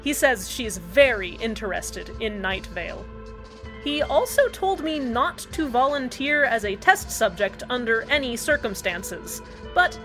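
A woman's voice: on the high side, a bit bright. A woman hosting a scripted community radio show, delivered in a cheerfully matter-of-fact way. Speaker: radio host. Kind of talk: scripted fan-fiction radio narration.

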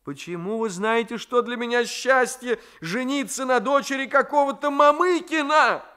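A man reading a novel aloud as a stubborn, ironic gentleman who argues and teases. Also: extremely high pitch, moderate pace, clean tone, voice pushed up. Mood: defiant. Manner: argumentative, teasing. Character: stubborn, ironic gentleman grandson standing his ground.

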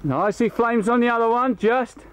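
A man with a notably high-pitched voice talks behind a handheld camcorder. He narrates events as they unfold and calls instructions to a group like a leader running games. The sound is amateur outdoor home-video audio. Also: brisk, slight background noise. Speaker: scout leader filming and running camp games. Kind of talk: live home-video commentary with shouted instructions. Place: outdoors at a riverbank and campsite.

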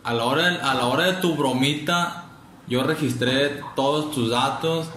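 Bright-voiced young Mexican man, echoey room. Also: fairly high pitch, a little hiss or hum. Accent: Mexican Spanish. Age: young adult. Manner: mock-threatening, slangy, fast. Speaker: prank video host. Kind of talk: prank phone call. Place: living room with a couch. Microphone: far camera.

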